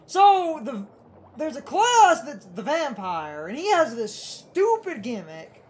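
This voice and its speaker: A woman's voice: high-pitched, big pitch swings, takes it slowly, darkish tone, a little hiss or hum. A woman doing casual gaming commentary, explaining things in a rambling, argumentative way over game music. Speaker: let's play co-commentator. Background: video game music.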